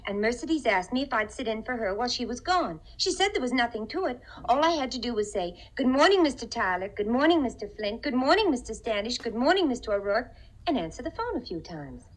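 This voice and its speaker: A woman's voice: fairly high pitch, big pitch swings, a little fast, low-quality recording. A woman playing a naive, eager-to-please young receptionist in a 1950s radio comedy, rambling on sweetly and politely. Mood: chatty, helpful. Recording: vintage 1950 radio broadcast.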